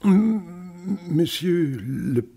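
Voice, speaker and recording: An actor playing a sly old miserly French merchant in a radio play, feigning a halting stammer. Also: male voice, high voice, animated, dark tone, breathy edge, full character voice. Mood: feigned hesitation, cunning. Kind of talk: radio drama acting.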